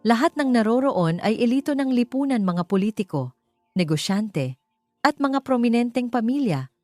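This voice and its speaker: A woman with a Filipino accent narrates a scripted romance story in a dramatic, measured way. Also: a little high, expressive, darkish tone, dry recording, clean studio sound. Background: background music.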